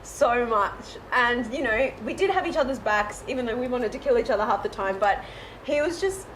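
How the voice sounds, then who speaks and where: A woman's voice: a little high, lively intonation, moderate pace, a bit bright, a little hiss or hum, poor audio. A woman in her twenties, raised in Israel, chatting as a guest and laughing often. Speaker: guest, reality show runner-up. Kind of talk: casual interview chat.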